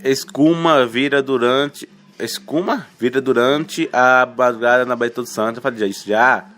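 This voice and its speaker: A man from Bahia, reading radio news headlines informally and haltingly, with self-corrections, colloquial asides and a slight cold. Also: mid-range voice, a bit bright. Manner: colloquial, halting, stumbles over words and self-corrects, tags remarks with questions. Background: music.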